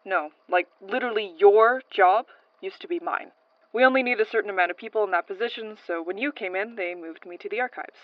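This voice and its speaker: A woman playing a brisk, businesslike archival transcriptionist in an audio drama, matter-of-fact and a little curt. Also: medium pitch, no room sound, dull audio, lightly acted. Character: brisk, businesslike female archival transcriptionist showing a newcomer around. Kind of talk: naturalistic audio drama acting.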